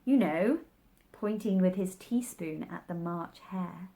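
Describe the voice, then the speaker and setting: A woman reading a children's story aloud, with measured, expressive scripted narration. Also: fairly low pitch, vocal fry.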